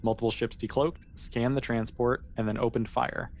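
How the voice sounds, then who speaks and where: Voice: medium pitch, little pitch movement, moderate pace, darkish tone, faint music behind. A game master casually improvising narration for a tabletop sci-fi game, in an easygoing, hesitant way, with everyday phrasing.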